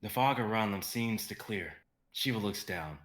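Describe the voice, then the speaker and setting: Low, bright-voiced young man reading. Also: expressive, moderate pace. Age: young adult. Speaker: narrator of a dramatic reading. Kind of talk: live script reading, stage directions.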